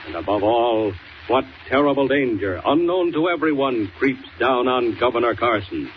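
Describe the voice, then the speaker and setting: A man's voice: mid-range voice, expressive, normal speed, lightly acted. A man narrating a 1940s radio serial as a grand, theatrical announcer. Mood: ominous. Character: solemn, dramatic male radio serial announcer. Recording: hissy, dull old radio transcription.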